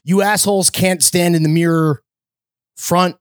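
A man's voice: sits high, measured pace, no echo, clean studio sound. A man co-hosting a podcast, riffing in casual, profane banter. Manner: animated, profane, jokey riffing. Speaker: podcast co-host. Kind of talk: casual chat.

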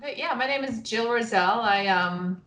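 A woman's voice: on the high side, normal speed, a bit bright, echoey room. A woman in her thirties or forties with a New Zealand accent, a photographer chatting in a friendly way as a podcast guest. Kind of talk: casual interview chat.

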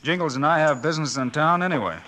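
An actor playing a calm, courteous and firm American cowboy lawman in a 1950s Western radio drama. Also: fairly high pitch. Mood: reassuring. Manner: firm, unhurried, authoritative. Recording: noisy vintage radio recording.

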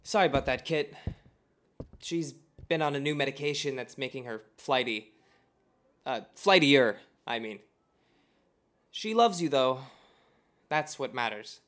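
Grown son in a radio play, bit sardonic, dry n apologetic, dutiful type. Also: male voice, mid-range voice, expressive, unhurried, long pauses, a bit bright. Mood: apologetic, resigned.

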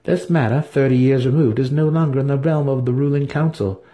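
Guy doing a sly dark elf noblewoman, voice pitched way up, purring and assertive, fantasy audiobook. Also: fairly even intonation, fairly quick, lightly acted. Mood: composed. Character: scheming female dark elf matron, smooth, insistent. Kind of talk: dramatic fantasy audiobook reading.

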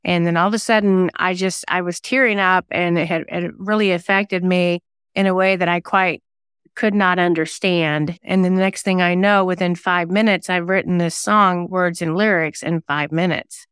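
A woman's voice: lowish voice, fairly even intonation, normal speed, fairly bright tone, clear, resonant tone, no room sound, clean studio sound. A woman talking reflectively as an interview guest, thoughtfully telling personal anecdotes about creative work. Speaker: singer-songwriter and novelist guest; former radio DJ.

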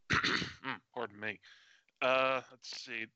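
A man's voice: mid-range voice, steady tone, takes it slowly, sharp tone, clear, resonant tone, no room sound, highs cut off. A man of forty-one chatting casually as a co-host, in a hesitant, self-deprecating way. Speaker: show co-host. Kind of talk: casual chat.

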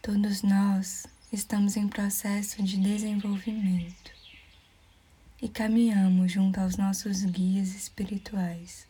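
A Brazilian woman leading a guided meditation in a soft, breathy near-whisper, calm and soothing, leaving measured pauses. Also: medium pitch.

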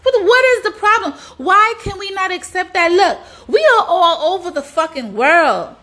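A woman's voice: very high voice, animated, moderate pace, bright, forceful tone. A woman delivering an opinionated, exasperated monologue in colloquial speech, with rhetorical questions and frequent swearing.

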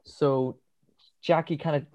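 A man with a Northern Irish accent talks through a film plot in a loose, rambling way, pausing on ums and swearing now and then. His voice sits a little high. The recording is dull and lo-fi. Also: steady tone, a little mellow. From Northern Ireland. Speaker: podcast co-host. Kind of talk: casual film chat.

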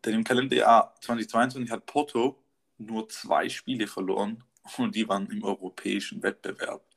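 A man's voice: lowish voice, little pitch movement, normal speed, creaky, a bit bright, slightly rough. A young man co-hosting a casual football podcast, reeling off statistics with lots of fillers and an upbeat tone. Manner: stat-heavy, upbeat, filler-laden delivery. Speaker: podcast co-host.

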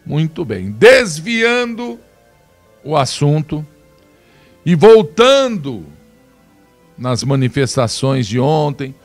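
A man speaks in a fairly high voice, close to the mic in a dry room. His delivery is emphatic and opinionated, and he slows down to stress key words. Faint music sits under his voice. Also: no echo. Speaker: commentator presenting video clips. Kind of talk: opinionated political commentary.

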